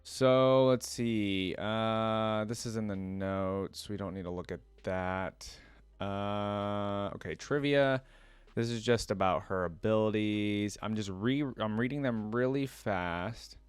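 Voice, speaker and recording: A man's voice: on the low side, takes it slowly, clear, resonant tone, no echo. A man hosting a reaction video in a casual, off-the-cuff way, rambling as he thinks aloud. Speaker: host of a reaction video channel.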